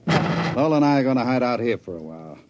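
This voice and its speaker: An actor playing a bossy, smooth-talking gangster with a sardonic, commanding manner in an old-time radio drama. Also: male voice, mid-range voice, expressive, fast, a little hiss or hum, natural voice.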